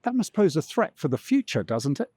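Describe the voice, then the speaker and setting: A man's voice: on the high side, sing-song, fairly quick, a little mellow, slightly rough, dry recording, clean studio sound. A man presenting a news feature, narrating and interviewing on location. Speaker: reporter and presenter. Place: outdoors at a castle.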